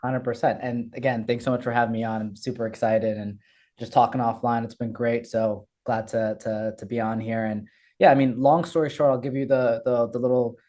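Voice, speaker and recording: Brief: young man from Ethiopia, interview guest, relaxed dark tone, energetic and candid. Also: mid-range voice, a little fast. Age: young adult. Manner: candid, energetic, frequent fillers and restarts. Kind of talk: casual interview storytelling.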